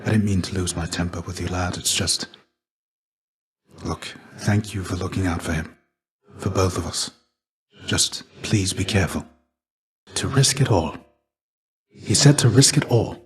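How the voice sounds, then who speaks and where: An actor voicing a stoic, weary dead Greek warrior and fatherly mentor in a video game, speaking in a measured, formal, restrained and gently grave way. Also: low-pitched. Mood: pensive, contrite. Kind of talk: video game character dialogue.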